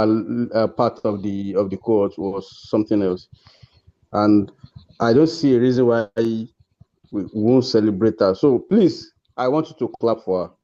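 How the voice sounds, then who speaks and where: A man's voice: on the low side, dark tone. A man with a Nigerian accent hosting an informal awards ceremony over a video call, speaking in an encouraging, congratulatory way. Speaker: host presenting course awards. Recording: dull lo-fi online call audio.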